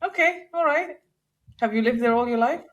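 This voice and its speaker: A woman hosting a casual interview chat, bubbly and quick to laugh. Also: high-pitched, lo-fi sound. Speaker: podcast host.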